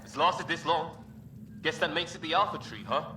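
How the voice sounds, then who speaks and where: A man's voice: a little high, normal speed. A man playing a wary, sardonic squadmate in a sci-fi video game, with a casual way of talking. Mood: curious. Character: wry, wary male squadmate on alien expedition. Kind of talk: naturalistic video game dialogue acting. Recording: game audio under loud ambient noise.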